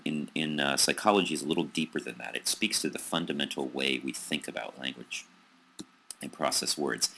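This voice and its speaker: Very deep, gravelly American male lecturer. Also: sharp tone. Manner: hesitant, many ums and restarts. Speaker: psychology lecturer. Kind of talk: recorded lecture over slides.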